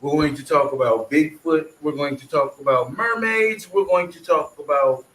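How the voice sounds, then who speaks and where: A man's voice: on the high side, moderate pace, fairly bright tone. A man hosting a casual online group chat, rambling loosely about his plans and swearing freely. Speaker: stream host. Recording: echoey room.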